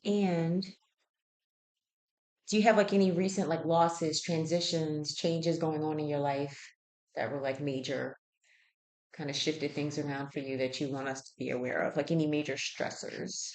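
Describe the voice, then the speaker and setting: A woman with a low-pitched voice conducts a health intake interview. She works through her questions in a conversational, methodical way, acknowledging and checking answers as she goes. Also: steady tone, moderate pace, a little mellow.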